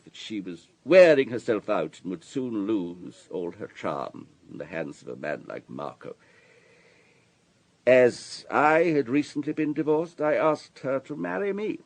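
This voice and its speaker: An actor playing a wealthy, urbane businessman in his sixties in a radio drama, husky and discursive, with a worldly, paternal manner. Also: on the low side, heavily acted. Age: 60s.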